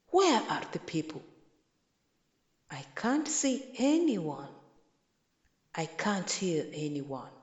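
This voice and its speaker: A woman voicing an eager, scheming old village man in a storybook, with slow, emphatic declarations. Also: sits low, darkish tone, heavily acted. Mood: puzzled. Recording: echoey, roomy sound.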